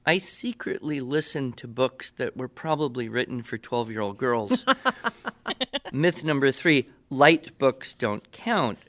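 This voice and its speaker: A man's voice: mid-range voice, a bit bright. Older man hosting a conversational podcast. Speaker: podcast host. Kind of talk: conversational interview.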